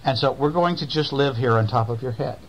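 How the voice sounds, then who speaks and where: A man's voice: medium pitch, lo-fi sound, natural voice. Guy doing happy stubborn bees in a kids story, hummy and stretched out, bit of noise. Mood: smug, admiring. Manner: drawn-out, humming between phrases. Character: swarm of cheerful, stubborn, humming bees. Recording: faint noise, homemade recording.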